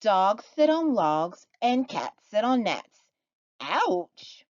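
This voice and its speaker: A woman's voice: mid-range voice, big pitch swings, extremely slow, a little mellow, no echo, muffled recording, pitched above the performer's own voice. A woman voicing a bossy, smug storybook frog who lays down rules in a commanding sing-song. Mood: assertive. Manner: commanding, matter-of-fact, sing-song rhyming.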